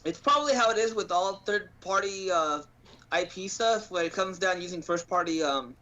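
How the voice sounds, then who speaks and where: Male gaming co-host, notably high voice, informal and a bit halting, muffled lo-fi chat sound. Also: lively intonation, pushed and bright. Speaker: live stream co-host. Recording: dull lo-fi voice-chat audio.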